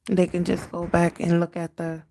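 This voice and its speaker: A woman with a raspy, cracking voice, working as a spiritual medium and leading an earnest prayer on a livestream. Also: lowish voice, completely flat delivery, normal speed, warm tone, a little hiss or hum. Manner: earnest, prayerful, repeats invocations.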